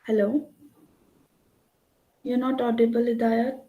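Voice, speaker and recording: A woman's voice: a little high, level tone, slow, narrow, tinny audio. A woman giving an online lecture, explaining at length and checking in with "right?". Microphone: computer mic on a video call. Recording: echoey room.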